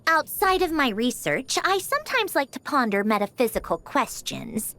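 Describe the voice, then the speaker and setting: An actress playing a curious, haughty mechanical puppet researcher in a video game, in theatrical, musing monologues. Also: female voice, high voice, big pitch swings, moderate pace, bright, forceful tone, heavily acted. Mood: pensive, melancholy.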